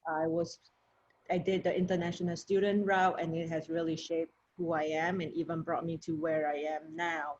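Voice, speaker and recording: A woman from Malaysia, a university program director, talking in a conversational, measured way in a podcast interview. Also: low-pitched, flat delivery, darkish tone, dull audio.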